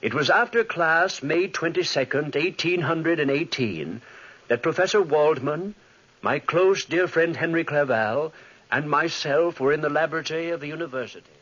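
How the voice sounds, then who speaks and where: An actor playing a driven, haunted young gentleman scientist in earnest, formal, dramatic 1940s radio drama style, with a husky voice. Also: a little high, normal speed. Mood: haunted, warning. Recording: muffled 1940s radio recording.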